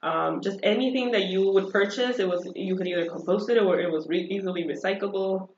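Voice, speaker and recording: A woman as an interview guest, talking in an upbeat, animated way with frequent fillers and laughter. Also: medium pitch, a little fast, a little hiss or hum. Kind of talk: casual conversational interview. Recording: roomy dull lo-fi audio.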